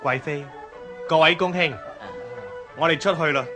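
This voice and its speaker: An actor playing an imperious, pleased Chinese emperor in a Cantonese radio drama, stately, with a Hong Kong accent. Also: male voice, a little high, normal speed, over music, close to an everyday voice. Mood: pleased, commanding.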